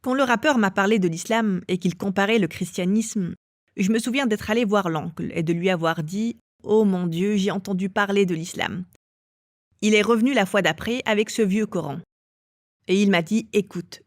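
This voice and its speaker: A woman telling her own story as an interviewee, in a calm and measured way. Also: medium pitch, dry recording, studio quality. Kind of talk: personal testimony.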